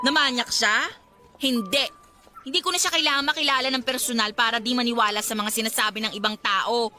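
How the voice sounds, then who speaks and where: A woman's voice: high-pitched, sharp tone. A woman acting a blunt, judgmental, gossiping young cousin in an audio drama. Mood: defensive. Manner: blunt, argumentative. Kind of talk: acted Tagalog audio drama dialogue.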